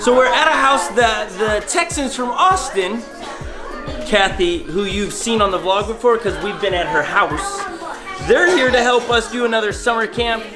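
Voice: mid-range voice, lively intonation, moderate pace, crowd noise. A vlog host chatting casually to camera in a jokey, playful way. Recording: loud background music. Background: music.

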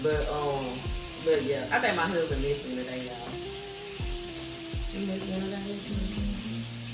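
A woman's voice: deep voice, expressive, takes it slowly. A middle-aged woman chatting casually in a folksy, colloquial way, as host of a family vlog. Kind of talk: casual kitchen vlog chat. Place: home kitchen. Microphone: camera on tripod at a distance. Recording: roomy echo, distant mic, background music.

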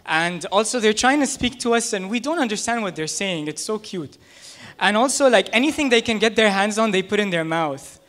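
Guy with a high voice doing stand-up into a handheld mic, chatty and self-mocking. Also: lively intonation, a little fast, a bit bright. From United States. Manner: conversational, self-deprecating storytelling. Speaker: stand-up comedian. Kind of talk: stand-up comedy set. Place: small comedy club stage, indoors. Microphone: handheld stage microphone.

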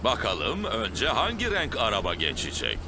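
A man's voice: fairly low pitch, wildly swooping pitch, normal speed, noisy background. A man voicing a jolly, easygoing father pig with a hearty, chuckling manner in a children's cartoon. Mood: playful. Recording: music behind the voice.

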